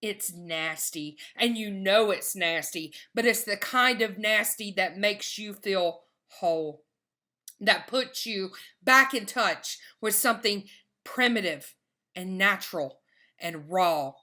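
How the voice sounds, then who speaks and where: Female poet, very bright and forceful tone, unhurried pace, emphatic, measured reading of verse. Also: mid-range voice. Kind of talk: poetry reading.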